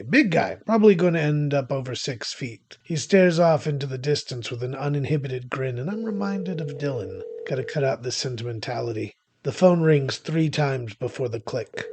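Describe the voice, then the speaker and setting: A man's voice: medium pitch, a little mellow, husky. A man playing a weary, cynical male witch, narrating a horror thriller audio drama in the first person in a wry, brooding, theatrical way. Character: weary, cynical male witch hunting through a haunted house. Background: music behind the voice.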